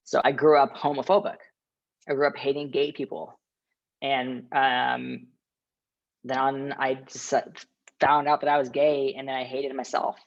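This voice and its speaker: A woman's voice: a bass voice, flat delivery, a little slow. A woman panelist speaking candidly and chattily over a video call. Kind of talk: casual panel sharing. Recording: dull lo-fi call audio.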